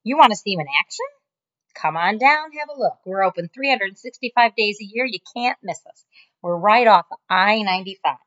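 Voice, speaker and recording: A woman voicing a brash, boastful middle-aged man who runs the place, talking loudly, on a muffled lo-fi recording. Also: medium pitch, animated, brisk, voice pushed up, lightly acted. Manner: loud, boastful.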